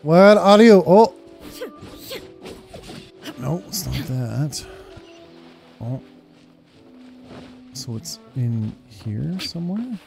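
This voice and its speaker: A man's voice: fairly high pitch, lively intonation, drawn-out words, creaky, long pauses, a little mellow. A man in his thirties giving casual game commentary, muttering and exclaiming to himself. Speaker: video game streamer. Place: indoor room at home. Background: game music.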